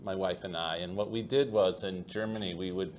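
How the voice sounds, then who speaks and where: A man's voice: sits low, steady tone, fairly quick. A middle-aged man hosting an interview, chatty and repetitive, often tagging "okay?" onto his sentences. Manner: chatty, repeats words, adds tag questions like "okay?". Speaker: podcast host. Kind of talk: conversational interview. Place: conference venue. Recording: faint background noise at a conference.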